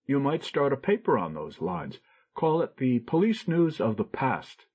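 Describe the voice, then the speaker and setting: A man's voice: medium pitch, huge pitch swings, measured pace, a little mellow, slightly rough, dull audio, pitched above the performer's own voice, exaggerated voice. A man voicing a cheerful, teasing young friend in an audiobook. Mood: genial. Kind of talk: audiobook character reading.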